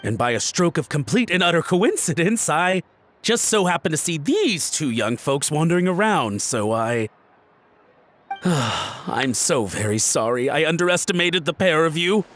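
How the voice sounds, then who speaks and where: A man's voice: a little high, big pitch swings, moderate pace, fairly bright tone. An actor playing a nervous, flustered man who rambles and fumbles, in an overly formal manner. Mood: sheepish. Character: nervous, flustered man caught out, eager to please. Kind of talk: video game voice acting.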